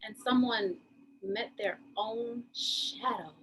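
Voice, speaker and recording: A woman's voice: on the high side, takes it slowly, a bit bright. A woman reading dramatic scripted narration for a stage piece. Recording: noisy room ambience.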